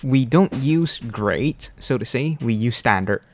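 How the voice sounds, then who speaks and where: Young man with gravelly creak. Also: medium pitch, expressive. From Malaysia. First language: Cantonese. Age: 20s. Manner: formal, deliberate, precise wording. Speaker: university student guest. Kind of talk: explanatory interview answers.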